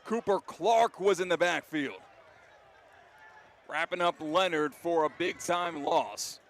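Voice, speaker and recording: An American man calling live football play-by-play for radio, excitable and given to shouting on big plays. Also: a little high, slow pace. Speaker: play-by-play announcer. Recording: clear outdoor stadium background noise.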